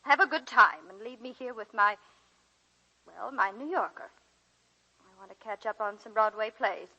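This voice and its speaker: An actress playing a retired college teacher over seventy, dry, tender and reflective, in a 1940s radio drama style. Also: female voice, a little high, normal speed, bright, forceful tone. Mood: firm, dryly resisting. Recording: dull vintage 1940s radio recording.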